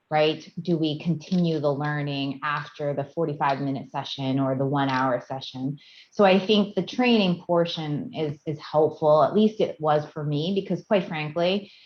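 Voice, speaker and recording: A woman's voice: deep voice, highs cut off. A woman on a discussion panel, thoughtful, who often repeats words as she restarts.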